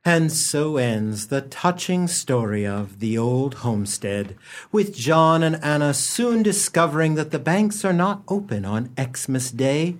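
An actor playing a droll, mock-solemn storyteller narrating a comic radio play. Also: male voice, on the high side, sing-song, unhurried.

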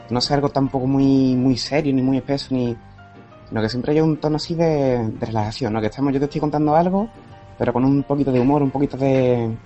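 A man's voice: medium pitch, warm tone, dull audio. A man from Andalusia, a modest podcast guest who hedges and ends points with tag questions. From Andalusia, Spain. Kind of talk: casual round-table chat.

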